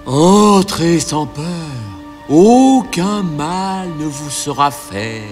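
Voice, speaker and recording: Mysterious rock spirit for a fairy tale: male actor, solemn, commanding, slow, high-set, music underneath. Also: lively intonation. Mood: solemn, urging. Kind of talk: acted storybook dialogue.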